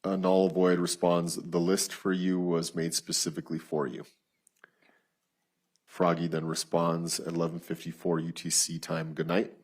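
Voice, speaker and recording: A man testifying as a detective in court, reading written messages aloud in a flat, procedural, matter-of-fact way with a husky voice. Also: low voice, normal speed. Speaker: lead detective testifying as witness.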